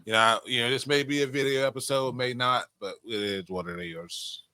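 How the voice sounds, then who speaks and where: A man's voice: mid-range voice, fairly quick, bright, forceful tone, no room sound. A man hosting a podcast in casual chat, swearing freely as he talks. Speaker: podcast host.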